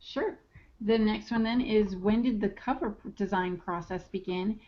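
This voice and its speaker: A woman's voice: medium pitch, moderate pace, a little mellow. A woman moderating a podcast, putting questions to authors in a conversational style. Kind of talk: conversational interviewing. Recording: muffled lo-fi audio with noise.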